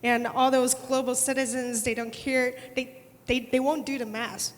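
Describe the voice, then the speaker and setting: A woman's voice: sits high, flat delivery, normal speed. A woman attorney speaking on a conference panel, emphatic and repeating words as she presses her points. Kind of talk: panel discussion. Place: large conference hall. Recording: conference hall reverb.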